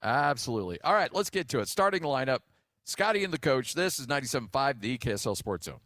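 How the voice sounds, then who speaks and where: An American man hosting sports radio, bantering casually and telling stories in a jokey, animated way. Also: medium pitch, quick pace.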